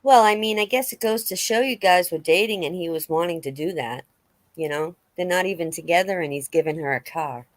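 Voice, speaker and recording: A woman's voice: fairly low pitch, fairly quick, close to an everyday voice. A woman reading the part of a casual, probing investigator in a dramatic reading of a police interview. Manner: conversational, inquisitive.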